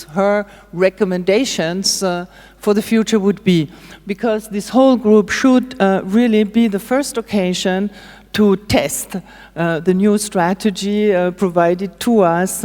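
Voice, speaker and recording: A woman's voice: lowish voice, a little mellow. A woman moderating a conference panel, introducing speakers with frequent hesitations and filler sounds. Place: conference hall. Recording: reverberant conference hall.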